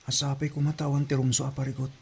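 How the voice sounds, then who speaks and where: A protective big brother from a radio-style drama played by a man. His voice is mid-pitched and a little raspy, with an Ilocano accent and a concerned manner. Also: flat delivery, quick pace, a little mellow. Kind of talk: Ilocano audio drama acting.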